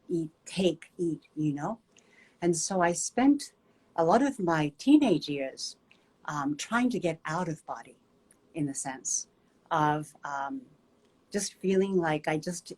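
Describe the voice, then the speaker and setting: A woman, a guest movement teacher telling a personal story in a gentle, reflective way with many pauses. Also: lowish voice, breathy edge, rough recording. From Japan.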